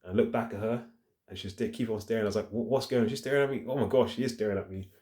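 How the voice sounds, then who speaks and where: Deep, mellow-voiced man talking casually. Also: racing. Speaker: fitness vlogger. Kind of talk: casual rambling vlog talk to the phone camera. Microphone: handheld phone.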